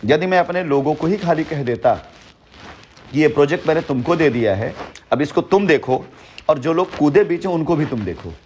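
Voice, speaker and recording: A man's voice: on the high side, animated, moderate pace, darkish tone, slight background noise. A middle-aged man heading a company, talking assertively and boastfully on a phone call, repeating his points. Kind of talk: heated phone conversation.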